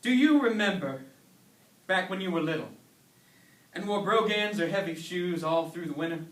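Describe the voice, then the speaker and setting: A man's voice: high-pitched, animated, normal speed. A man giving a dramatic staged reading of a sermon, telling it like a story in pointed, emphatic phrases. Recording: echoey hall, recorded from far off.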